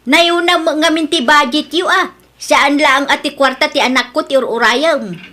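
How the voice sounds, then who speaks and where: A woman's voice: high voice, moderate pace, pushed and bright. An actress playing a suspicious, demanding middle-aged mother in a radio drama, with a scolding, nagging manner.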